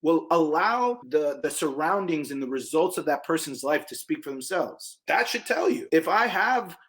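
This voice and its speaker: Man, from Egypt, podcast guest, casual conversation, emphatic, blunt, lively pitch jumps. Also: normal speed. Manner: emphatic, blunt, swears, big pitch jumps on key points. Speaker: podcast guest, small business owner.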